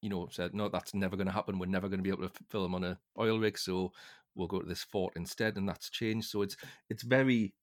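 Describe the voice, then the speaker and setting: A man hosting a casual podcast discussion in a halting way, often repeating words as he goes. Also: sits low, steady tone. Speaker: podcast host.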